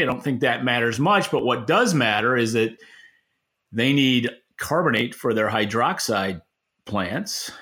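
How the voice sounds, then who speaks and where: A man co-hosting a podcast, giving opinionated market commentary in an informal, halting way with repeated words. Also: on the low side, a bit bright. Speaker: podcast co-host and industry analyst.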